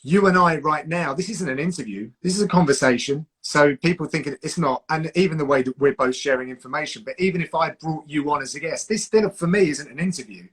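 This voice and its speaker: Southern English host coaching in a fairly high voice. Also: male voice, fairly quick, hardly any pauses, fairly bright tone, husky. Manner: reflective, coaching, with frequent restarts. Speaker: host, combat sports commentator. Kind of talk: casual live-stream chat giving advice.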